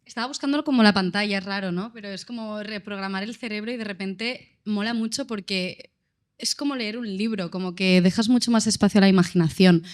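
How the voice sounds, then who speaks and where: A woman's voice: mid-range voice, brisk. Chatty woman on a panel. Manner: chatty, hesitant, enthusiastic. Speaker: actress at a press event. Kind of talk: casual panel talk.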